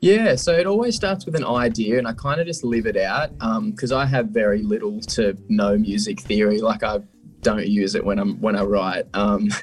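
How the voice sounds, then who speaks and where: A young man, a musician guest, talking casually in an interview, relaxed with frequent laughs and ums. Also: on the low side, normal speed.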